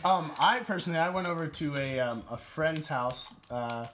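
A young man on a friends' podcast, telling stories with excitement and swearing. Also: a little high, normal speed, a loud crowd. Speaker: podcast regular. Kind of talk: casual chat.

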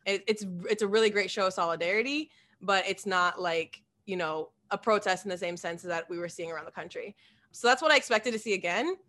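A woman's voice: medium pitch, brisk, a bit bright. A woman in her twenties from Des Moines, a council candidate telling her story in a chatty way full of "like" and laughter. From Des Moines, Iowa. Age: 20s. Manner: chatty, laughs often, many "like" fillers. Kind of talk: casual interview answers.

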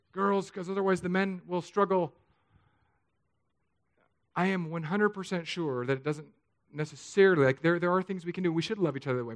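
Pastor, male, 30s, earnest confessional preaching, slightly high-pitched. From Southern California. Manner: earnest, confessional, swings from soft to emphatic. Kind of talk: sermon.

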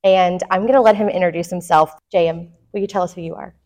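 A woman's voice: on the low side, steady tone, fast, darkish tone. A middle-aged woman hosting a podcast interview in an office, chatty and enthusiastic. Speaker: podcast host. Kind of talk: casual interview chat.

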